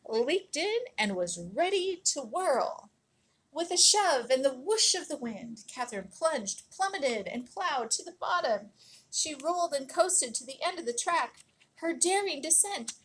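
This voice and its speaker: Animated, high-pitched woman reading a storybook. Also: low-quality recording. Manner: dramatic, emphatic storytelling with drawn-out words. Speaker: storybook reader. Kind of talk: expressive read-aloud of a children's picture book.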